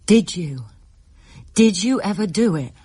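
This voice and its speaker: A woman's voice: mid-range voice, animated, unhurried, warm tone, slightly rough. A woman playing a protective, firm mother in a radio drama, with a maternal manner. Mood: concerned, firm.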